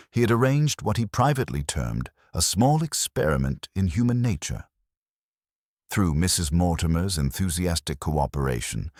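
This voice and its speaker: A man narrating a mystery story in a measured, formal, wryly literary manner. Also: very deep voice, a little mellow, no room sound, clean studio sound. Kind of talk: scripted audiobook-style mystery narration.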